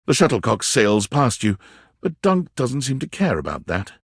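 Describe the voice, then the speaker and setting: A man playing a wry, theatrical narrator of a playful dating game, telling scenes in the second person with expressive, dramatic delivery. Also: medium pitch, normal speed, slightly rough, no echo, studio quality.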